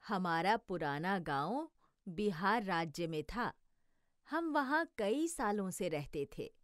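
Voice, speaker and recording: A woman voicing a gentle, homesick village mother who explains things patiently. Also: medium pitch, lively intonation, takes it slowly, dry recording, clean studio sound. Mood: wistful. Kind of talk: educational cartoon voice acting.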